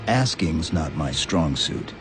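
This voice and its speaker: A man playing a stoic, terse super-soldier in a video game, with a laconic, deadpan delivery. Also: very deep voice, takes it slowly, over music, exaggerated voice.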